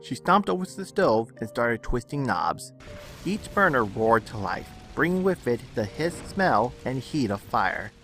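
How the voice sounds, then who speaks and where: A man's voice: medium pitch, big pitch swings, measured pace, fairly bright tone. A man reading scripted dramatic story narration. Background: music under the narration.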